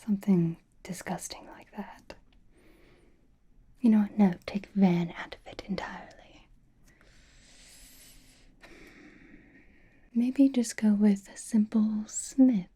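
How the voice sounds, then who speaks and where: A woman playing a flirtatious, teasing vampire in a whispered ASMR roleplay, with intimate, breathy delivery and soft sighs. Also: mid-range voice, steady tone, unhurried. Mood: wry, teasing. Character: flirtatious, possessive vampire companion, teasing and reassuring.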